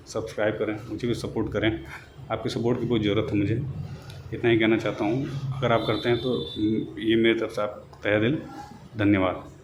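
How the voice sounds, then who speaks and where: A man giving an informal explanatory update to viewers as an online host, in a halting, repetitive way with drawn-out words. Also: on the low side, noisy background. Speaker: YouTube host giving exam and recruitment updates.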